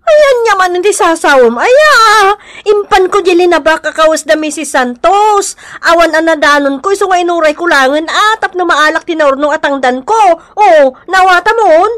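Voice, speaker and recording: An actress playing an aggrieved, hot-tempered wife and mother in a radio drama, wailing and high-strung, with an Ilocano accent. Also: animated, moderate pace, a bit bright. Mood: defensive, flustered.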